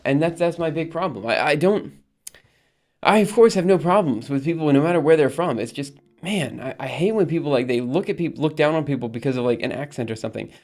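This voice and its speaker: A man's voice: fairly high pitch, brisk, darkish tone. A man from Alabama hosting a live stream, chatting casually with viewers, rambling with frequent ums and laughs. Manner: rambling, reads chat aloud and answers, frequent ums and laughs. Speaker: livestream host.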